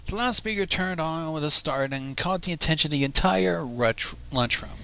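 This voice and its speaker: A man's voice: a little high, moderate pace, creaky, bright, forceful tone, clear, resonant tone. A man reading a story aloud with casual asides, stumbling over words and going back over them. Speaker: fan fiction reader.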